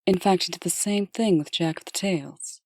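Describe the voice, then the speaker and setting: A woman playing a composed, dutiful, dryly candid deputy in a comic dub, with measured, formal delivery. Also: lowish voice, husky, no echo, studio quality.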